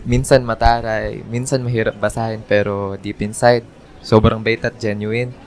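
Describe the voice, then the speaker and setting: A man's voice: lowish voice, level tone, normal speed, darkish tone, lightly acted. A man playing a friendly, sincere young crush in a Filipino radio drama, easygoing in manner. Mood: sincere, fond. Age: young adult.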